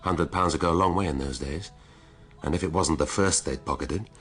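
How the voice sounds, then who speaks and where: An actor playing a wry, brooding middle-aged English police detective in a radio drama, dry and thoughtful. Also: male voice, extremely low pitch, brisk, fairly bright tone, soft background music. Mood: eager, teasing. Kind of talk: naturalistic radio drama acting.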